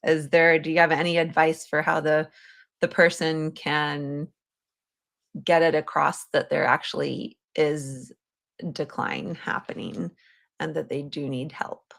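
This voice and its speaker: A woman with a notably low voice hosts a conversational interview. Her speech has a clear gravelly fry as she puts questions to guests. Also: flat delivery, a little slow, a bit bright. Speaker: podcast host.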